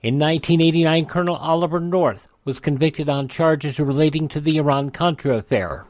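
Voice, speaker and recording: A man's voice: on the high side, brisk, darkish tone. A man with an American accent reading a scripted, news-style history narration. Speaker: radio history segment narrator.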